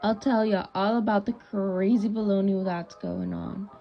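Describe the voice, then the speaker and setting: A young woman with a gravelly creak co-hosts a scripted 1920s-style radio news show. Her pitch stays fairly flat while she delivers theatrical period slang, on a dull recording with music behind. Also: moderate pace. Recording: muffled lo-fi audio with music behind.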